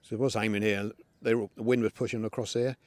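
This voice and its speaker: A man's voice: fairly low pitch, little pitch movement, quick pace, darkish tone, dry recording. A middle-aged man, chatting casually outdoors in a windy field while shooting an air rifle and talking to his dogs. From England. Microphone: camera on a tripod, then handheld.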